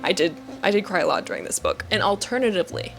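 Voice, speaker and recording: A woman's voice: medium pitch, expressive, quick pace, gravelly, faint music behind. Gushing woman in a casual book vlog. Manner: gushing, emphatic, giggly. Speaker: book reviewer, vlogger. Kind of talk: casual chat to camera about books.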